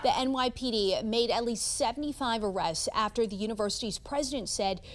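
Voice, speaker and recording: A woman's voice: a little high, fairly quick, a little hiss or hum. A woman with an American accent reading scripted news as an anchor.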